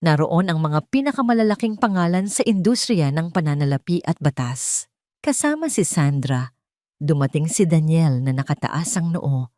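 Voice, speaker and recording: Low-pitched woman narrating a drama. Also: lively intonation, moderate pace, mellow, no room sound, studio quality. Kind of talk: scripted dramatic audio story narration.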